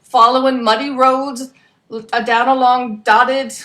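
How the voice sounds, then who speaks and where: A woman narrating as a brash young male pigeon adventurer, slangy and energetic, in a storybook reading. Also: high voice, slow pace, voice pushed up. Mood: cheerful.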